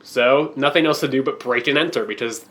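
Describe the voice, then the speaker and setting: A man's voice: mid-range voice, fairly quick, a bit bright. A man co-hosting a comic-book podcast, talking casually and recapping stories while getting over a cold that makes his voice crack. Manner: joking, recaps plots in a loose rambling way. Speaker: podcast co-host.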